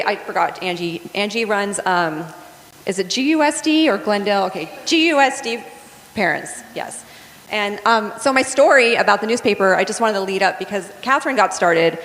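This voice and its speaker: A woman's voice: medium pitch, expressive, fairly quick, a bit bright. A woman casually introducing panelists at a church event, chatty and informal with frequent ums. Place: church hall. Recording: echoey hall with a faint audience.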